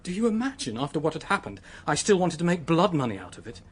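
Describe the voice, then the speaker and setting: A man's voice: a little high, sing-song, slightly rough. Haunted young British man confessing. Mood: indignant. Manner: haunted, halting, desperate. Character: distraught young man confessing an accidental killing. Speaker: actor in a radio drama. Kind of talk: radio drama acting, confessional narration.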